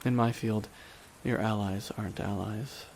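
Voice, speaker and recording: A man playing a bitter, weary supervillain in a dramatic ASMR audio roleplay, speaking deliberately with long pauses to a dying nemesis. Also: fairly low pitch. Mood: scornful. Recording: steady rain noise behind the voice. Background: rain falling on surfaces.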